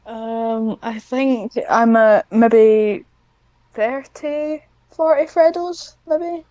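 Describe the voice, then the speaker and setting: A woman's voice: fairly high pitch, very slow, dark tone, dry recording, highs cut off. A young woman with a British accent, chatting casually as a podcast guest and telling stories in a rambling, giggly way. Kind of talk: casual chat.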